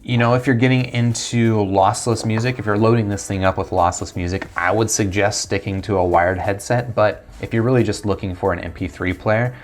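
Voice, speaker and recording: Low-pitched American man reviewing gadgets. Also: fairly even intonation, brisk, few pauses. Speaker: tech product reviewer. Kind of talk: casual unboxing review talk to camera. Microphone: lapel mic.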